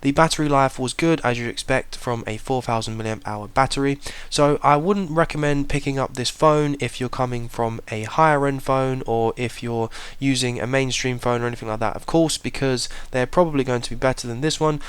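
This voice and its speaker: Male tech reviewer, mid pitch, clean smooth tone, steady explanatory voice-over. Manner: plain, measured, explanatory. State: slight cold.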